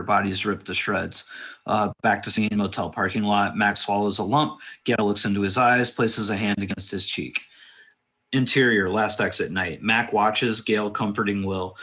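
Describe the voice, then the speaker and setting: Low, forceful male narrator. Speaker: stage-direction narrator. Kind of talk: scripted screenplay narration.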